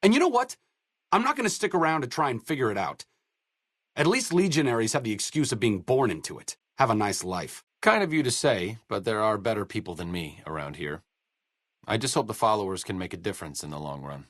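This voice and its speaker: An actor playing an idealistic, self-deprecating young wasteland doctor in a video game, speaking in an articulate, conversational way with dry wit. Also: male voice, lowish voice, sing-song, a little fast, fairly bright tone, dry recording. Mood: sarcastic, indignant, then wry, disappointed. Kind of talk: video game dialogue acting.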